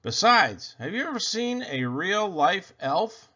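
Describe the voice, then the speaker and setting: A man's voice: high voice, big pitch swings, slow, pushed and bright, voice pushed up, exaggerated voice. A man voicing a skeptical, scoffing young boy in a storybook reading, insistent and know-it-all. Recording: dull lo-fi recording.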